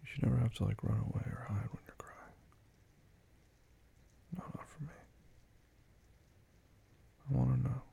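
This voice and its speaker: A man softly whispering a tender, reassuring ASMR comfort roleplay. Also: mid-range voice, fairly even intonation, normal speed, gravelly, long pauses, a little hiss or hum.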